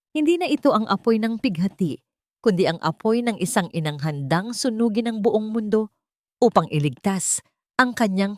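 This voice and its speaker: A woman's voice: mid-range voice, darkish tone, no echo, studio quality. A woman narrating a scripted audio drama in a solemn, emotive storytelling manner.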